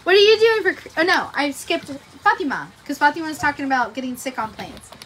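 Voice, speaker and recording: Woman, playful break-room banter, high animated voice. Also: fast, low-quality recording. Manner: teasing and laughing. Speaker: dental office staff. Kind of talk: casual chat.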